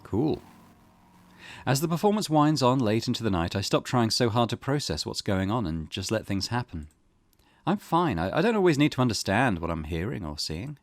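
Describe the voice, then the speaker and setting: A man's voice: mid-range voice, sing-song, normal speed, no echo. A man hosting a let's play, reading visual novel text aloud expressively with casual comments. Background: loud game music.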